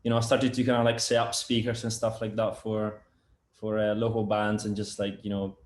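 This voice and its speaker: A man's voice: lowish voice, monotone, a little fast. A man talking casually on a video call, rambling with many fillers and restarts. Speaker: sound engineer and product developer, guest. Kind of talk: casual interview chat. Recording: roomy echo.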